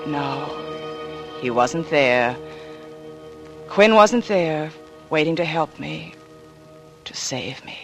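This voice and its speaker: A woman's voice: low-pitched, expressive, takes it slowly, long pauses, soft background music, full character voice. A young American woman acting a frightened but plucky heroine in a 1940s radio drama, speaking with breathless earnestness over an old broadcast. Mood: tense, haunted.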